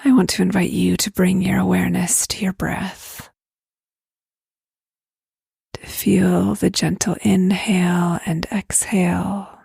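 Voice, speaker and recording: Female meditation guide: very husky and breathy, heavy creak, gentle slow delivery. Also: lowish voice, little pitch movement, no room sound, clean studio sound. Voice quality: soft, breathy, near-whisper. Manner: gentle, unhurried, spacious phrasing. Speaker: guided meditation leader, host.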